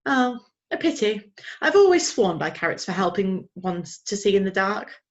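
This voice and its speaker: A woman's voice: mid-range voice, sing-song, normal speed, natural voice. A woman voicing a kindly but fussy old lady who speaks in a prim, genteel, chiding manner. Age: older.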